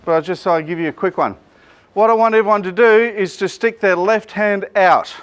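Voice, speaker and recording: A middle-aged Australian man, a business coach, running a joking, energetic seminar and quizzing his audience, speaking without a microphone to the room. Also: sits high, brisk, clean tone. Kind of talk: lively workshop presentation to a live room.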